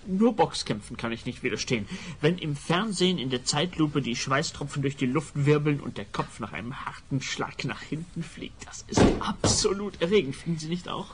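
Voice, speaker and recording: An actor playing a timid, guilt-ridden sociologist in a comic radio play, anxious and over-earnest. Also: male voice, a little high, animated, moderate pace, noisy background, lightly acted. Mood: secretly thrilled. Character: timid, squeamish, sentimental male sociologist, guilt-ridden pacifist.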